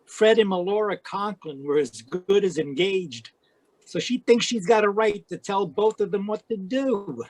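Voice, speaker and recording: Guy acting a slick pushy villain in an old radio play, higher voice, real dry sound. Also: unhurried, a little mellow, no echo, rough recording. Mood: smug. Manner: slick, menacing, calls woman baby. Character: scheming, pushy male villain in frontier melodrama.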